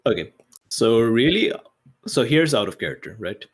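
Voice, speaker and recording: A man's voice: mid-range voice, sing-song, moderate pace, husky. A man talking casually as a player in a tabletop roleplaying game, informal and agreeable as he reasons about his character.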